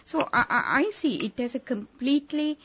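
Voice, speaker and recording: Husky-voiced woman hosting a radio chat. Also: high voice, fairly quick. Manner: hesitant, with many "uh" fillers. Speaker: radio host. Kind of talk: radio talk-show conversation. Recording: dull lo-fi radio recording.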